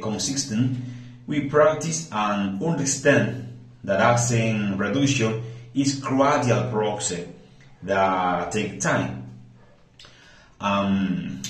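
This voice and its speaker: A man's voice: lowish voice, echoey room, a little hiss or hum, low-quality recording. A man from the Dominican Republic with a Dominican accent, speaking hesitantly in a prepared speaking-practice monologue, often restarting and searching for words.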